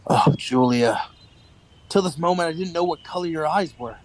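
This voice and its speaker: A man's voice: a little high, moderate pace, close to an everyday voice. An actor playing a nervous, weary man of thirty-nine in a radio play, hesitant and earnest. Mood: earnest, guarded. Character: nervous, weary thirty-nine-year-old rebel clerk.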